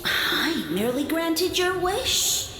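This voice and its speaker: A woman's voice: very high-pitched, lively intonation, slow, echoey room, noisy background, heavily acted. A woman voicing a pompous, self-proclaimed sage lord of fungi who talks down to everyone with grand, condescending speech. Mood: grandiose.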